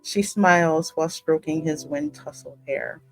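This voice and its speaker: A woman's voice: low voice, measured pace, gravelly, poor audio. A woman hosting a gaming video, narrating story text and adding casual asides, with music behind her. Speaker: gaming channel host. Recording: music under the voice.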